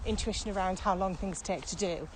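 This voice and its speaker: A woman's voice: medium pitch, a little fast, rough recording. A middle-aged woman explains things in a casual, conversational podcast style. She is emphatic and works through each point step by step. She is recorded outdoors on a walk, with faint ambient noise behind her. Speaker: podcast co-host and experienced trainer. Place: outdoors, walking. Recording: outdoor walking recording, clear background noise.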